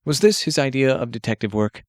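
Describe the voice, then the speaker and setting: Male fiction narrator for audiobook. Medium pitch, scripted storytelling, dry close recording. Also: expressive, a little fast, dry recording.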